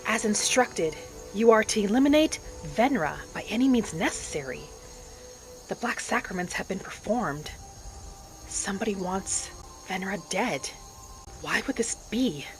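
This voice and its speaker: Woman doing a calm earnest elf wanderer, storybook-formal game roleplay narration, music clearly behind her. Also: medium pitch, close to an everyday voice. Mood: alarmed. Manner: measured, formal storybook phrasing. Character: Venra, earnest female wood elf wanderer, serene and devout. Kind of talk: in-character roleplay narration of a fantasy video game.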